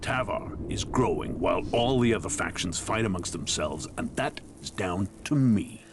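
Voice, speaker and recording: A man's voice: on the low side, expressive, normal speed, noisy background, close to an everyday voice. A man playing a ruthless gang boss who rules a wasteland town, menacing, boastful and blunt, in a video game. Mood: boastful, scornful.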